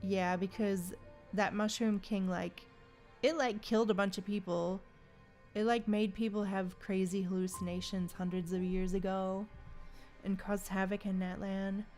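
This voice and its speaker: Woman, livestream host, unhurried pace, informal thinking aloud, casual gaming chat, background music. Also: medium pitch, little pitch movement, a little mellow.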